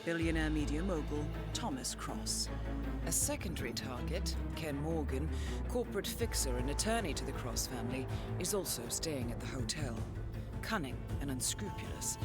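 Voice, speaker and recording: A woman playing a cool, authoritative intelligence handler in a game cutscene, speaking formally and with measured, dry knowingness. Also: low voice. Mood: cool, businesslike. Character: cool, authoritative female handler briefing an assassin. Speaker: mission handler narrating briefings. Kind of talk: scripted game cutscene voice acting. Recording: loud music under the voice.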